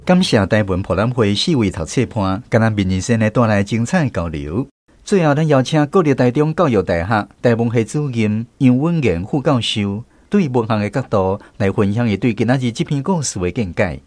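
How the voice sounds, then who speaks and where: A man's voice: medium pitch, lively intonation, moderate pace, darkish tone. A man with a Taiwanese accent reads a scripted radio introduction as host, formal and courteous.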